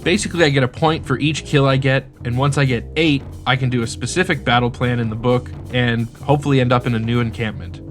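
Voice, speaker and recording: A man's voice: medium pitch, fairly even intonation, fast, hardly any pauses. A man presenting a tabletop battle report as host and player. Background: faint music.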